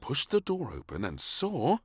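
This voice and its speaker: Slow, highly animated male storyteller. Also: a little hiss or hum. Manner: expressive, theatrical. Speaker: storybook narrator. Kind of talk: scripted children's story narration.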